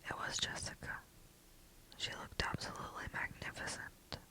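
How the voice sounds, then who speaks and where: A storyteller whispering a first-person story in a soft, hushed voice throughout. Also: moderate pace, low-quality recording.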